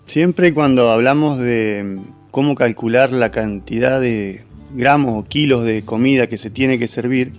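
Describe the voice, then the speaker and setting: A man with a medium-pitched voice explains things conversationally as a food professional. Soft music sits faintly behind him. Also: unhurried, few pauses. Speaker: gastronomy professional, guest cook.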